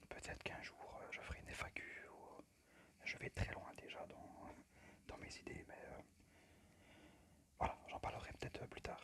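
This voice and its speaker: A man speaking in a breathy, unvoiced whisper into a phone microphone, presenting informally in ASMR style with a hesitant, unpractised manner. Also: normal speed, pauses often, pushed and bright. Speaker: amateur ASMR creator and game collector. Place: at a desk indoors.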